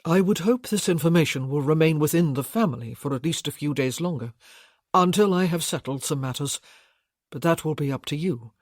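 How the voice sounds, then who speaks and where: An audiobook narrator voicing a composed young aristocratic husband who speaks in formal, measured sentences. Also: medium pitch, animated, dry recording, clean studio sound, natural voice. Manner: formal, measured, slightly raised.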